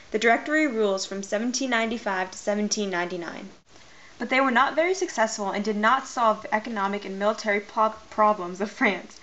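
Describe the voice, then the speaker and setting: A woman's voice: mid-range voice, a bit bright. A teenage female student reading scripted history narration. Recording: dull, faintly noisy amateur recording.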